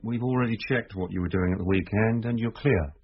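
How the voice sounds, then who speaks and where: A calm police inspector, methodical and authoritative. His voice is low and husky with plenty of movement, on a muffled radio drama recording. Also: a little fast. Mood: calm, questioning. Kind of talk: naturalistic British radio drama acting.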